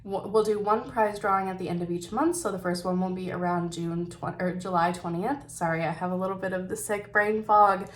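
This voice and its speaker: A woman's voice: fairly low pitch, fairly even intonation, a little fast, hardly any pauses. A woman hosting a knitting video podcast, chatting casually about her projects in a nasal voice because she is getting over a cold, upbeat with some fillers and slips.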